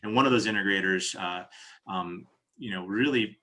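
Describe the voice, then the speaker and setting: A man's voice: deep voice, moderate pace, pushed and bright. An American man from New Jersey speaking as a company executive in an interview, explaining products conversationally and often restarting his phrases. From New Jersey, United States.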